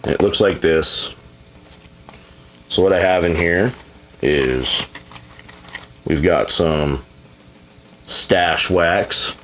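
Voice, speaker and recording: A man's voice: deep voice, very slow, long pauses, fairly bright tone. A man answering viewer questions in a casual, rambling chat, laid-back and frequently hedging, now and then blunt and profane.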